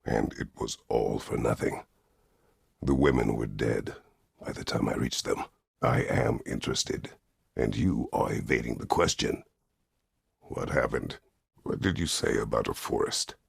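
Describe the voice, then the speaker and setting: An actor playing a stern, weary, middle-aged Greek war god in a video game, speaking tersely and formally with a gravelly, husky voice. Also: male voice, rumbling low, unhurried, dry recording, full character voice. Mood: grave, subdued. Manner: terse, grave, formal phrasing without contractions. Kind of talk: dramatic video game voice acting.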